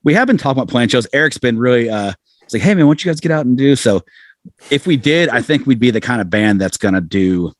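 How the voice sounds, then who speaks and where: A man's voice: medium pitch, lively intonation, fast, no room sound. A middle-aged American man talking casually as a musician being interviewed, rambling along in a self-deprecating way. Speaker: musician guest, band frontman.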